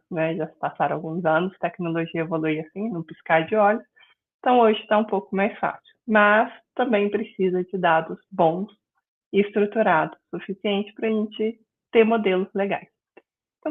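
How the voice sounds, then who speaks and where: A middle-aged woman from Minas Gerais with a Mineiro accent, teaching an online class in a friendly, explanatory way and filling pauses with "né". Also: medium pitch. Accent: Mineiro Brazilian Portuguese. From Minas Gerais, Brazil. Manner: friendly, explanatory, frequent "né" and "é" fillers. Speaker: guest instructor. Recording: tinny phone-like online call audio.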